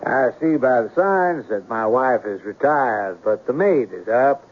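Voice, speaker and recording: An actor playing a courteous, eccentric gentleman writer in a 1970s radio drama, speaking formally and grandiloquently. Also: medium pitch, lively intonation, measured pace, over music, natural voice. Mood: hospitable. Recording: dull old radio broadcast.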